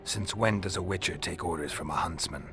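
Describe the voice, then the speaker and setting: An actor playing a stoic monster hunter in a video game, with an American accent and a gravelly, deadpan, terse delivery. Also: male voice, a little fast, faint music behind. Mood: dry, probing. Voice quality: low gravelly growl, breathy at phrase ends. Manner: deadpan, terse, dryly sardonic. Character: gruff, stoic male monster hunter for hire, dry and blunt.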